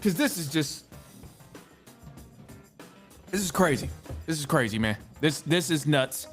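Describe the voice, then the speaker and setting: A man's voice: a little high, lively intonation, measured pace, soft background music. A man hosting a sports podcast, ranting casually in an exasperated, rambling way with slangy repeats and mild swearing. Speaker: podcast host. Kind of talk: casual sports rant to listeners.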